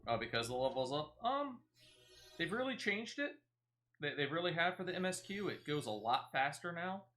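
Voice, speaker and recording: A man's voice: a little high, very animated, moderate pace, sharp tone, soft background music. A man who streams games, chatting casually and conversationally with a viewer while he plays. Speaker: game streamer. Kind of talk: casual live-stream chat while playing a game.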